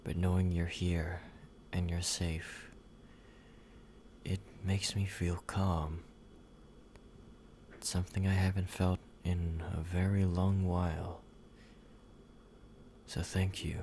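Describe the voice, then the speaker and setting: A man voicing a possessive yandere vampire in an ASMR roleplay, speaking softly and intimately, sometimes whispering. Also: low-pitched, little pitch movement, slight background noise. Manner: soft, intimate, slow and controlling, slipping into whispers. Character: possessive male yandere vampire, obsessive and menacing.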